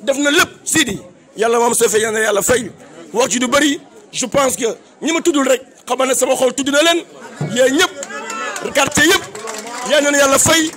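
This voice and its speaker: A Senegalese man delivers an impassioned tribute in a strikingly high, forceful voice. He declaims emphatically and repeats phrases for weight, with a faint outdoor crowd behind him. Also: moderate pace. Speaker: political activist giving a tribute. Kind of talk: impassioned public praise speech.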